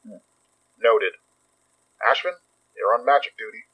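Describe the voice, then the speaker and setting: Guarded calm investigator boss, husky voice, bit dull recording, radio play style. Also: male voice, medium pitch, measured pace, heavily acted. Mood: terse. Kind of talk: naturalistic audio drama acting.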